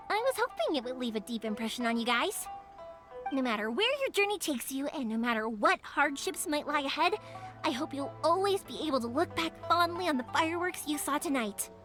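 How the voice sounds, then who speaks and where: Sunny young fireworks artisan; high, animated, earnest read over game score. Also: female voice. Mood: cheerful. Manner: warm, earnest, reflective with soft laughs. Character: cheerful young female fireworks maker. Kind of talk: video game dialogue. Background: game music.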